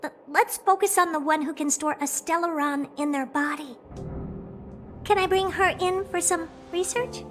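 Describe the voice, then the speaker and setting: Haughty, very high-pitched girlish genius. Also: moderate pace, a bit bright, soft background music. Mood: dismissive, brisk. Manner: haughty, blunt, dismissive, easily intrigued. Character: haughty, blunt, self-important female genius, owner of a space station. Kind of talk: English video game dub acting.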